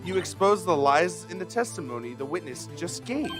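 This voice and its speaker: A man's voice: a little high, very animated, moderate pace, voice pushed up. A man voicing an insistent female mentor lawyer from a video game, firm and instructive as she coaches a rookie. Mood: prodding. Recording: music behind the voice.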